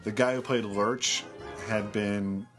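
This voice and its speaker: A man's voice: on the low side, fairly even intonation, takes it slowly, a bit bright, faint music behind. A man co-hosting a podcast who chats casually about films. He rambles with enthusiasm and often trails off mid-thought. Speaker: podcast co-host. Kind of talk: casual film discussion.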